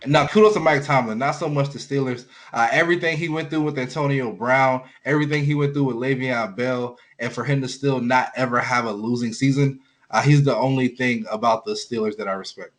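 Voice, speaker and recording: Male co-host for sports talk, medium pitch, casual commentary delivered bluntly and informally. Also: fairly even intonation, brisk.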